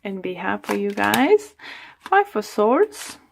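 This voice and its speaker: A woman reading tarot cards aloud in unhurried, deliberate English, pausing often to reach for words in what is not her first language. Her voice is medium-pitched and slightly worn from a day of readings. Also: very animated, creaky, heavy background noise. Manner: halting, searching for English words. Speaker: tarot reader.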